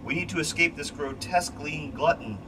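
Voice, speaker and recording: A man's voice: a little high, a little fast, pitched above the performer's own voice, lightly acted. A man voicing a frightened, sentimental cat astronaut from a children's storybook, pleading and dramatic. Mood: panicked. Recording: distant mic, loud steady hum.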